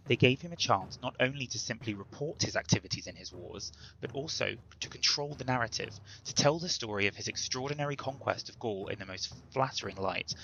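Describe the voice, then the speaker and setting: A man's voice: on the low side, creaky, sharp tone, muffled recording, slight background noise. A man delivering a scripted history introduction in a formal, emphatic, lecturing way. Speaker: presenter introducing a dramatic reading.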